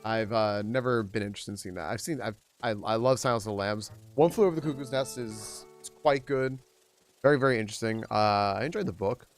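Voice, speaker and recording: A man chatting casually on a live stream while playing a game. He rambles with many restarts and jumps up in pitch whenever he greets viewers. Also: brisk, faint music behind.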